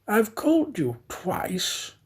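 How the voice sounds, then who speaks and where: Guy doing a composed bossy gentleman master for a storybook, slow, clipped, bit husky. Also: sits high, huge pitch swings, pitched above the performer's own voice, full character voice. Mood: composed, commanding. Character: composed, exacting English gentleman master, commanding.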